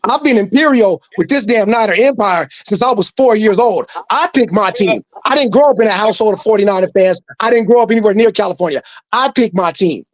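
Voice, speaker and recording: A man's voice: high voice, brisk, few pauses, no room sound, lo-fi sound. Emphatic, profane man in a heated sports argument. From New Mexico. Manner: emphatic, profane, repeating himself. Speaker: co-host.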